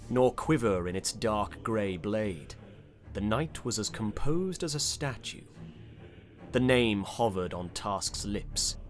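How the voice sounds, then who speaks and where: Male narrator for dramatic fantasy audiobook: unhurried, intense, deliberate, on the deeper side.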